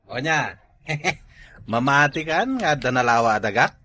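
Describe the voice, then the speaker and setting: A man's voice: mid-range voice, animated, a little slow, pushed and bright. A man with an Ilocano accent playing a carefree, stubborn younger brother in a comedy drama, boastful and fond of drinking. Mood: cheerful boasting. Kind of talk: Ilocano comedy radio-style drama acting.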